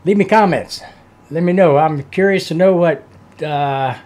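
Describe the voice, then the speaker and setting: Slow-talking older man, folksy and halting. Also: fairly high pitch, darkish tone. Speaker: reaction video host. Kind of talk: casual chat to viewers. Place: small home room, indoors.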